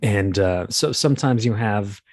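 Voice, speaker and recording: Male interview guest, low voice in a dry close recording, rambling explainer with wry asides. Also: measured pace, no echo. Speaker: guest, voice actor. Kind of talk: interview conversation, explaining the craft.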